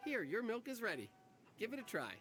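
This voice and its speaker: Obliging shopkeeper, high for a man, quick, animated, polite, video game. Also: heavily acted. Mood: eager, polite. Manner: polite, eager, customer-service tone. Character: eager, obliging drink stall vendor. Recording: game music behind the voice.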